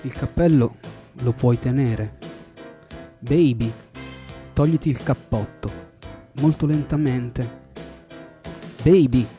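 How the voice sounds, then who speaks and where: A man's voice: medium pitch, warm tone. A man delivering spoken-word song lyrics over musical accompaniment. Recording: faint music behind the voice. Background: musical instruments.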